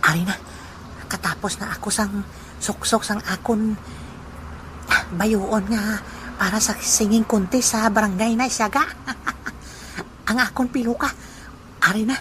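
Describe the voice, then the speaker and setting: A proud, teasing old grandfather, played by a woman with a high voice, taking his time over every word. He jokes and boasts in an amateur audio drama. The recording carries a clear layer of background noise. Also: lightly acted. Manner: teasing, laughing, slow deliberate delivery.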